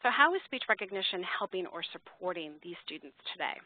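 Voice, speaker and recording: A woman giving an explanatory, measured slide presentation to educators, partly scripted, as a webinar presenter. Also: on the low side, big pitch swings, no echo. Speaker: software company webinar presenter. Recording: narrow-band phone line, dry.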